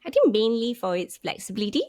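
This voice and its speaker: A woman's voice: a little high, big pitch swings, fast talker, a little mellow, no echo. A woman in her thirties with a Singaporean English accent, a podcast guest explaining her career thoughtfully and often restarting phrases. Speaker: guest, UX manager. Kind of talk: podcast interview.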